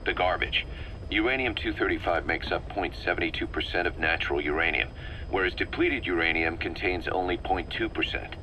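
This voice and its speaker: Game technical advisor, explaining, knowledgeable, low tense voice, faint hiss. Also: male voice, brisk, pushed and bright, low-quality recording. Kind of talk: video game voice acting.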